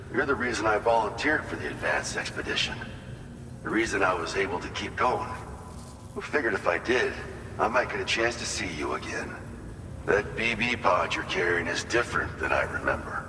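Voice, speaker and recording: A man's voice: lowish voice, animated, normal speed, pushed and bright, reverberant, long reverb tail, slight background noise. An actor playing an earnest, wistful male colonist in a video game, talking in a sincere, conversational and folksy way. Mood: wistful, tender. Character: earnest, wistful male colonist grieving his lost brother. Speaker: game character briefing the player. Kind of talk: cinematic video game dialogue.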